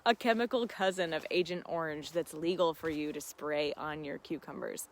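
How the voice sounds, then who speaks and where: A woman's voice: sits low, moderate pace. A woman hosting a casual live gardening Q&A, chatting informally and expressively with enthusiasm as she answers viewer questions.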